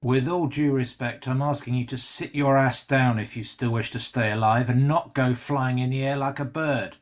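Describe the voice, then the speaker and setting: A man's voice: mid-range voice, steady tone, normal speed, natural voice. A man playing a callous, mocking traitor pilot with a smug, sarcastic delivery in a script read. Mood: mocking menace. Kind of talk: screenplay table read acting.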